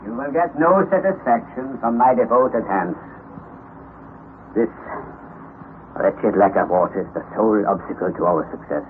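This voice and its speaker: An actor playing an obsessed, commanding older professor in a declamatory, grandiose old-time radio drama style. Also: male voice, fairly low pitch, moderate pace, long pauses, close to an everyday voice. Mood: calming, persuasive. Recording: tinny, noisy old radio recording.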